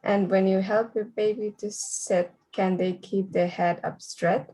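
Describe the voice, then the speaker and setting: A woman's voice: medium pitch, level tone, unhurried, dark tone, low-quality recording. A woman from Nepal with a Nepali accent, reading out questions and explaining as a parenting program facilitator. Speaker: early childhood program facilitator.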